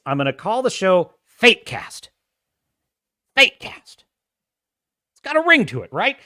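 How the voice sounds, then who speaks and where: A man's voice: high-pitched, pushed and bright, no echo, studio quality. A man hosting a casual livestream, chatting and reading viewer comments, with a self-described whiny, nerdy voice, rambling and laughing often. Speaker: host.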